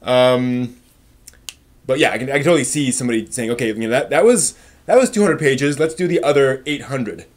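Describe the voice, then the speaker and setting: Male live stream host, mid-pitched, casual and opinionated, halting as he thinks through replies to chat. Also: animated.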